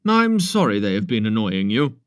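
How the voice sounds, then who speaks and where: A man reading aloud, voicing a brisk, bossy village doctor who talks in a loud, brusque, blustering way. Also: lowish voice, big pitch swings, smooth, dry recording, studio quality, pitched below the performer's own voice.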